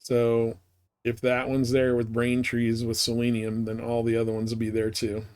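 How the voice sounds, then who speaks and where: A man streaming a game live, chatting casually and thinking out loud in a rambling way. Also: medium pitch, monotone, normal speed, clean tone. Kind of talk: casual gameplay chat.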